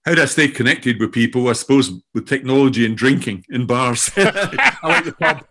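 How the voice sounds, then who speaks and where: An older Scottish man introducing himself as a guest in a loose, halting way, often laughing, over a remote call. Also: mid-range voice, expressive, fairly bright tone. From Scotland. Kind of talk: casual self-introduction.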